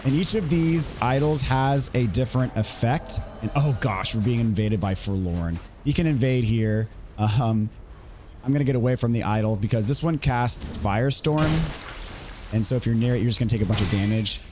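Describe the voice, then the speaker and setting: A man of ordinary pitch talks through a game walkthrough in a relaxed, explanatory way. His voice is hoarse and not yet fully recovered, and he stumbles into ums and restarts now and then. A faint hiss sits under the recording. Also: moderate pace. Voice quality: recovering from voice loss. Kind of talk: casual gameplay commentary.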